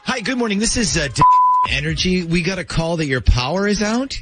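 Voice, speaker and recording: A male morning radio host with a fairly high, very animated voice. He talks casually through prank calls and banter, with faint music underneath. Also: a little fast, no room sound.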